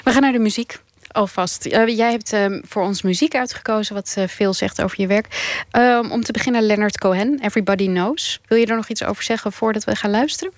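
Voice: mid-range voice, fairly quick, no room sound. A radio host conducting an interview, probing and searching for the right wording.

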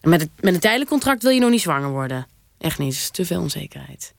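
A woman playing a chatty, obliviously earnest interviewee in a deadpan radio comedy, rambling in a hesitant, matter-of-fact way. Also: low voice, fast talker, creaky, heavily acted. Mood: earnest, unbothered.

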